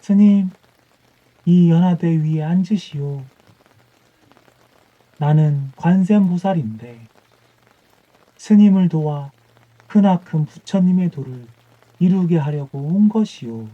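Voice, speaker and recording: Man voicing a high, stately female bodhisattva. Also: lively intonation, slow, long pauses, phone-quality sound. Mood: solemn, inviting. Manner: stately, formal, solemn. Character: serene commanding female bodhisattva in a monk's tale.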